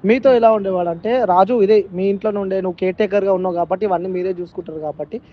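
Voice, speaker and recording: A man's voice: high voice, fairly even intonation, fast talker. A man conducting an informal interview, rephrasing his questions and repeating answers back. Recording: dull, lo-fi call recording.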